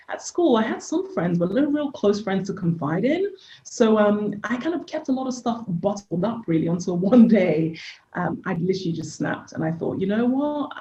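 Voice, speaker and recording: Reflective English-accented woman, echoey sound. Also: mid-range voice. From Nigeria. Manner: reflective, open, warm storytelling with soft laughs. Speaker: podcast guest. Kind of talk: candid life-story interview talk. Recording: echoey room, dull lo-fi sound.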